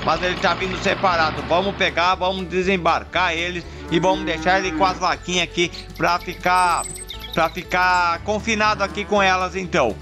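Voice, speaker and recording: A high-pitched man chats his way through toy farm play, talking to viewers as his friends. He stretches out long herding calls to the cattle. Music plays loudly behind his voice. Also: steady tone, moderate pace. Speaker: toy farm channel host. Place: outdoors in a dirt yard with toy animals. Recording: background music under the voice.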